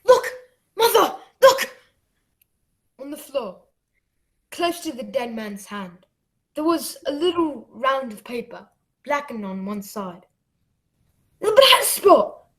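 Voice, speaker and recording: A woman playing an earnest, excitable young boy who acts and narrates his adventure in a radio play. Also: sits high, exaggerated voice. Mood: alarmed, then hushed wonder. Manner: dramatic, deliberate storytelling.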